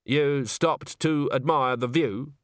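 A man's voice: mid-range voice, slow, no echo, lightly acted. A man voicing an officious coach agent with a dry, ironic way of speaking.

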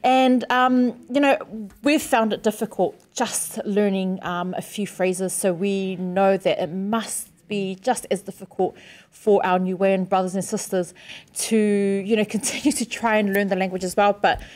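Kiwi woman cohosting a podcast, chatty, rambly, lots of ums. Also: medium pitch. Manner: warm, chatty, rambling with fillers. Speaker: podcast co-host. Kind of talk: casual podcast chat.

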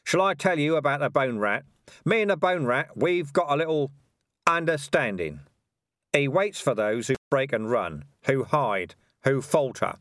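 A man voicing a fierce, scarred male rat clan leader who rouses his troops loudly and emphatically. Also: medium pitch, expressive, a little slow, dry recording, studio quality, voice pushed up. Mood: rousing. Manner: rousing, loud and emphatic.

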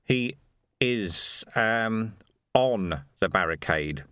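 A man's voice: fairly low pitch, expressive, extremely slow, a bit bright, clean tone, no echo, clean studio sound, full character voice. A man voicing a timid, henpecked, anxious husband who murmurs hesitantly and apologetically in an audiobook. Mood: apologetic murmur. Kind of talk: audiobook character voice.

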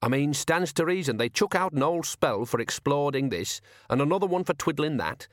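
A man's voice: a little high, expressive, normal speed, no room sound, studio quality, pitched above the performer's own voice, natural voice. A man voicing a resentful working-class cart driver who mutters and grumbles colloquially in an audiobook. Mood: resentful grumbling. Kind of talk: audiobook character voice.

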